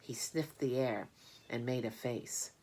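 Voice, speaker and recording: A woman with a low voice reads a children's storybook aloud. Her delivery is scripted narration with plenty of expression. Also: unhurried, mellow, low-quality recording.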